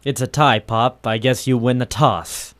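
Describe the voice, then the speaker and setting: An actor playing a world-weary American private eye in 1940s radio noir, clipped, sardonic and deadpan. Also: male voice, mid-range voice, measured pace, no room sound, close to an everyday voice. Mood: wry, tough. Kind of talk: 1940s radio noir acting and first-person narration.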